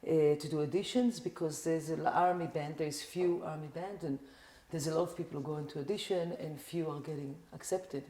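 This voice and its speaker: A middle-aged Israeli woman talks loosely as a podcast guest, with a low, mellow voice. Her sentences wander, full of "like" and "you know". The room around her sounds echoey. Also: fairly even intonation, brisk, few pauses. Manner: rambling, with many "like" and "you know" fillers. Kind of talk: casual interview chat.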